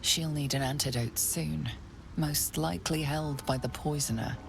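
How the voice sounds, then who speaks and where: A woman's voice: deep voice, fairly even intonation, moderate pace, vocal fry, music in the background. A woman narrating a fantasy video game in a scripted style as a grave, ominous storyteller. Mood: grave, measured.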